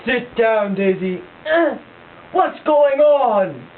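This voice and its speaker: A woman's voice: a little high, animated, drawn-out words, far from the mic. A woman voicing a scornful, blustering rich husband in an amateur puppet show, with a sneering, huffy delivery. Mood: incredulous. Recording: tinny lo-fi home recording with hiss.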